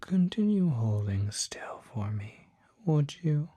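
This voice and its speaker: Someone acting a whispering, unhurried demon doctor. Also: medium pitch, very animated, mellow, voice pushed up, full character voice. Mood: gentle, courteous. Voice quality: breathy, whispery. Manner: formal, unhurried, precise diction. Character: polite, soft-spoken demon doctor treating curses. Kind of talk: whispered ASMR roleplay.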